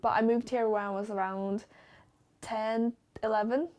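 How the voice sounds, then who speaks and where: A woman's voice: on the high side, fairly even intonation, moderate pace, a little mellow. Frank young woman, chatty fillers. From China. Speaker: interviewee. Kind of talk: documentary interview.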